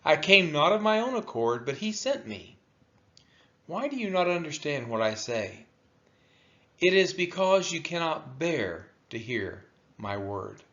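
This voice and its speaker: Slow, expressive man reading scripture aloud. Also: fairly high pitch, dull audio. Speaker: scripture reader. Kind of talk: solemn scripture read-aloud.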